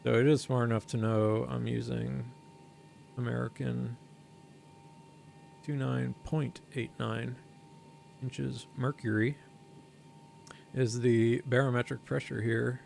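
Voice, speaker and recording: Man with a heavily gravelly voice. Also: medium pitch, flat delivery, darkish tone, a little hiss or hum. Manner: explains steps while thinking aloud; reads out numbers slowly and carefully. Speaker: flight sim streamer. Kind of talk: casual livestream commentary.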